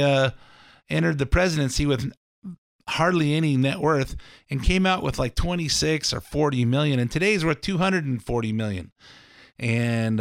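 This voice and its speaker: A middle-aged man hosts his own talk show, his voice slightly scratchy from a seasonal cold. He rambles casually and restarts sentences often, sitting a little high in pitch. The sound is dry and close, with no room around it. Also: fairly bright tone, no echo. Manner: rambling, folksy, lots of fillers and restarts. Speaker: radio show host and mortgage lender. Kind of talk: casual political talk radio monologue.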